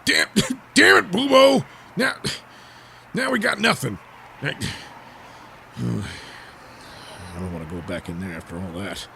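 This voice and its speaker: A man playing a brooding, self-important and bungling costumed vigilante with halting delivery in a comedic superhero audio serial. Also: mid-range voice, very animated, creaky, background noise, full character voice. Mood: exasperated.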